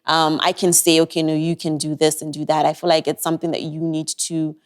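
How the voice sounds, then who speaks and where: A woman's voice: low voice, completely flat delivery, brisk, clean tone. A young woman with an American-sounding accent, giving earnest, reflective advice as a guest on a podcast interview.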